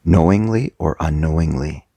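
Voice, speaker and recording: A man speaking as a meditation coach in a guided, motivational style, deliberately, in short phrases with long pauses, his voice gravelly and husky. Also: rumbling low, dark tone, no room sound.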